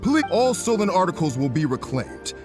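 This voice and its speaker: A man playing a stern, formal law officer in a video game, speaking with measured, dry authority. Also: high-pitched, big pitch swings, music in the background. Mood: stern, official. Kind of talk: English video game voice acting.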